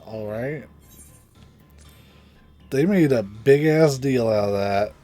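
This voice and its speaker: A man's voice: mid-range voice, slow pace, mellow. A man giving casual commentary on a game playthrough, blunt, sweary and joking. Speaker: game streamer. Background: game music.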